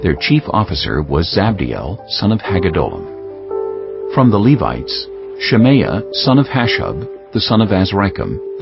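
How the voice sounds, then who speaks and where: A man giving a measured, solemn scripted reading of scripture as an audiobook narrator. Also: deep voice, gravelly. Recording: dull lo-fi audio with music behind. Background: prominent music bed.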